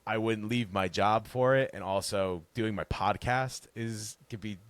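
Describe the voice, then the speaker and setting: Podcast guest: man, deep bass voice, loose rambling talk, dry close sound. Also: measured pace, dry recording. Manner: rambling, relaxed, laughs often. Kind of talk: casual interview chat.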